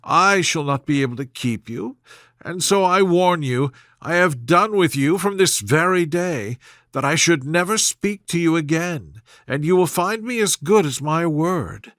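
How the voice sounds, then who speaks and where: A man's voice: a little high, expressive, measured pace, a bit bright, no echo, clean studio sound, pitched above the performer's own voice. A man voicing a melodramatic middle-aged mother who complains and scolds in a plaintive, querulous way, as in a period storybook. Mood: indignant scolding.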